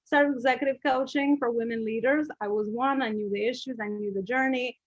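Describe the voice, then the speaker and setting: A woman's voice: on the high side, steady tone, brisk, no echo, lo-fi sound. A middle-aged woman with an Albanian accent, a business coach telling her story as a podcast guest, chatty with many fillers and restarts.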